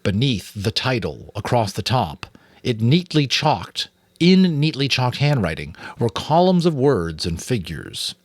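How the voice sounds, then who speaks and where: A man's voice: lowish voice, breathy edge, no room sound. A man with an American accent, reading a story aloud in dramatic audiobook narration.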